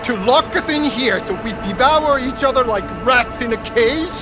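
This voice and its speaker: An outraged corporate executive in a video game. The voice is extremely high and shrill, accusing and on the edge of panic. Loud music sits behind it. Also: male voice, normal speed. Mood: panicked outrage. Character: outraged, accusing male corporate board member. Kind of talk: video game cutscene acting.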